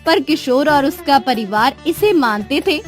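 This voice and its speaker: Lady narrating a kids story, slow and animated, music behind. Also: high-pitched. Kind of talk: scripted children's story narration.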